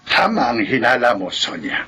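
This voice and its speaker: A man playing a grave village folk healer in a horror radio drama, speaking solemnly and deliberately with a gravelly voice. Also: mid-range voice, very animated, over music, close to an everyday voice. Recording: dull sound, faint ambience behind.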